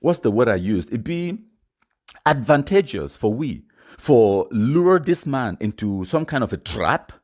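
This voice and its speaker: A man's voice: medium pitch, sing-song, unhurried, darkish tone. A man with a Cameroonian accent giving informal, emphatic storytelling commentary, repeating phrases for stress. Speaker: online commentator. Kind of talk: informal storytelling commentary in Pidgin mixed with English.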